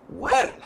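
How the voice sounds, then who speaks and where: A man's voice: a bit bright, slight background noise. A man voicing an eccentric, kindly but secretive older alien in an amateur radio play, speaking in a theatrical, formal, rambling way. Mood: fussy, cheerful.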